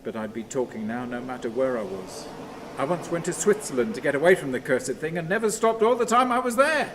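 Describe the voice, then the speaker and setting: Behind clear ambient noise, an actor plays a haunted, brooding man in a radio drama. His mid-pitched voice is halting and restless, repeating itself as it moves between muttering and command. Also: lightly acted. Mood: rambling, bitter reminiscence. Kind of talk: theatrical radio play monologue. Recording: clearly noisy, steady ambient sound.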